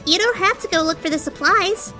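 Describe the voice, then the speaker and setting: Small fantasy creature, squeaky, very high pitch, bright, helpful, comic storybook reading, music behind. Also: male voice, a little fast, heavily acted.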